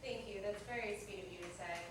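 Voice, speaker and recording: A woman playing a calm, confident, matter-of-fact God in woman's form in a live staged play reading. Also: medium pitch, fast, close to an everyday voice. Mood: sweetly pleading. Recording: noisy, very echoey hall, distant mic.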